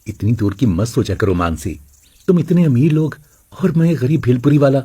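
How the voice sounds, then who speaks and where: Man playing a husky humble young street vendor. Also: medium pitch, lively intonation, normal speed, warm tone. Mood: gentle.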